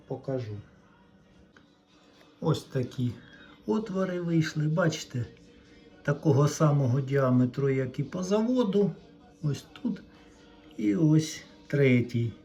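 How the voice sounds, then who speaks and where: A man's voice: fairly high pitch, a little mellow, highs cut off. A man talks viewers through a hands-on electronics repair, casually explaining each step without a script. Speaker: electronics repair hobbyist presenting his own video.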